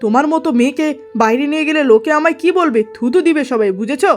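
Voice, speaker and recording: An irritable merchant husband in a cartoon tale, played by a woman. The voice is fairly high, scolding and dismissive, with soft music underneath. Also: fast talker, natural voice. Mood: irritated, scornful. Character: irritable, scornful merchant husband, adult man. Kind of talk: cartoon story acting.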